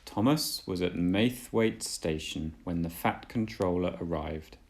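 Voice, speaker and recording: A man reading a children's storybook aloud as a dad would, with deliberate, dramatic storytelling. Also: deep voice, creaky, darkish tone.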